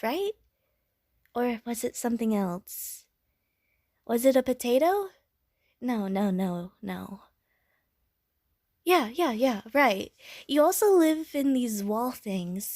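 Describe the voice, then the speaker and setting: A woman's voice: on the high side, a little mellow, dry recording, clean studio sound. A woman voicing a friendly, bubbly, naive female water slime who rambles chattily to the listener in an audio roleplay. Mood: curious, dreamy.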